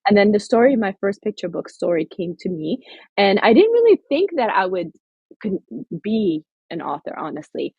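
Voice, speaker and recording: A woman's voice: mid-range voice, very animated, moderate pace, vocal fry, husky, dry recording, muffled recording. A woman from Somaliland, an author and guest, talking earnestly and reflectively in an interview, with many fillers and restarts. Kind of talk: interview conversation.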